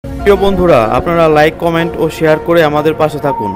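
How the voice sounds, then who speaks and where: A man's voice: a little high, fast talker. A man reading a scripted channel outro as announcer. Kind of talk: scripted outro asking for support. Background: music.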